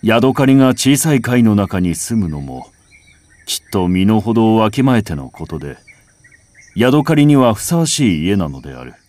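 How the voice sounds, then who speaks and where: Guy narrating a classic essay, lowish voice that moves around a lot, thoughtful dramatic phrasing, really dry sound. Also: big pitch swings, normal speed, darkish tone, no room sound. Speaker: audiobook reader. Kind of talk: literary recitation, scripted first-person narration. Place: home recording.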